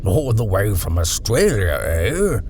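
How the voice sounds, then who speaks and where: A man's voice: fairly low pitch, very animated, slow pace, darkish tone, a little hiss or hum, pitched above the performer's own voice. A man voicing a jolly, tipsy old army major who blusters and bounces through his lines. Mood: hearty.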